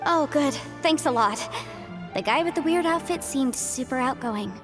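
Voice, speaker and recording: A woman's voice: sits high, expressive, moderate pace, music in the background. A woman playing a polite, articulate young female legal advisor in an English video game dub, cheerfully businesslike. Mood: polite, explanatory. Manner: articulate, explanatory.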